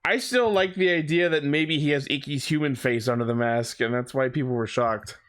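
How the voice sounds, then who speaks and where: A man's voice: medium pitch, brisk, fairly bright tone. A man co-hosting a podcast, talking casually and informally about a TV show with some swearing. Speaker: podcast co-host. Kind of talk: casual fan discussion of a TV show.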